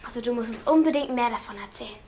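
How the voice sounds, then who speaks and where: A woman's voice: fairly high pitch, racing, darkish tone, a little hiss or hum, close to an everyday voice. A young actress playing an outspoken, stubborn teenage schoolgirl in a naturalistic TV drama. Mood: curious. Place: school hallway, indoors.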